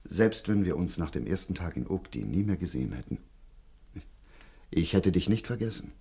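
An actor playing an earnest, protective middle-aged investigator in a German radio drama, hesitant and repeating himself. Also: male voice, low voice, sing-song, normal speed, gravelly, mellow, slightly rough, exaggerated voice. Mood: tender, awkward. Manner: hesitant, stammering repetitions, earnest.

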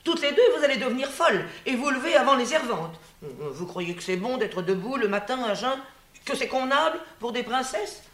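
An actress voicing an old royal nurse who scolds and dotes on her charge, in a stage drama. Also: moderate pace. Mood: fussing. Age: older. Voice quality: lively scolding voice, swinging high and low.

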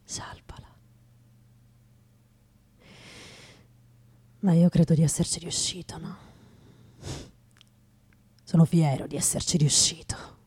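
A woman playing a weary, bitter working man in a radio theatre monologue, husky and breathy, muttering haltingly and often dropping to a whisper. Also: low voice, steady tone, darkish tone, exaggerated voice. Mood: tender, proud. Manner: halting, repeating words, muttering.

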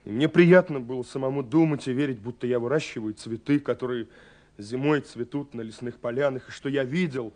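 A male actor plays a dreamy young man in love, a self-effacing romantic with a medium-pitched voice. He confides haltingly and with agitation, as in a 1970s radio drama reading of a letter. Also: natural voice. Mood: sheepish, confiding. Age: young adult. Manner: agitated, halting, confiding, with swells and hushed drops.

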